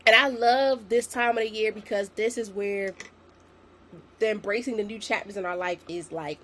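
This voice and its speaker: A young woman in her twenties hosting a solo podcast, slightly raspy from a sinus infection, chatty and encouraging as she talks to her listeners. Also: mid-range voice, normal speed, fairly bright tone. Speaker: podcast host. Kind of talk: casual solo podcast talk.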